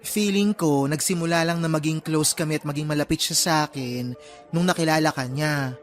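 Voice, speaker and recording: A man's voice: on the high side, a little fast, faint music behind, lightly acted. A man playing a chatty, teasing young male friend in a Filipino radio drama, with a Filipino accent and colloquial, exclaiming delivery. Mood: hesitant, confiding.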